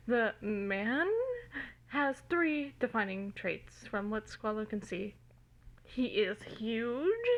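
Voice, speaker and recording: Amateur female story reader, unhurried, slightly high voice, informal and stumbly, phone-recorded. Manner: giggly, informal, stumbles over words. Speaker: amateur reader of fan fiction. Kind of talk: dramatic reading of a story.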